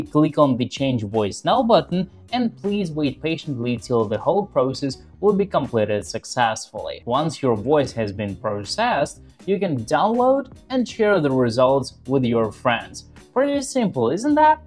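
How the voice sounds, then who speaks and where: Young man presenting with lively, swinging intonation. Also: a little high, a little slow, few pauses, faint music behind. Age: 20s. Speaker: tutorial presenter. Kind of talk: scripted tutorial narration to camera.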